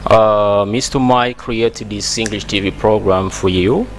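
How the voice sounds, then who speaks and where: A man's voice: lowish voice, steady tone, unhurried. Guy hosting a tv english class, pushy and encouraging, keeps repeating himself, faint noise behind. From Democratic Republic of Congo. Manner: emphatic, repeats words, encouraging. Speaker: English teacher and TV host. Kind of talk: spoken English lesson to TV viewers.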